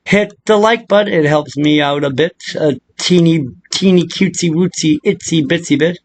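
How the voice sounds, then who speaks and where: High-pitched man rambling through a crude tutorial. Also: normal speed, a little hiss or hum. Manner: crude, profane, joking; rambling, muttering asides to himself. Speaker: video tutorial host. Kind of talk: rambling casual software tutorial.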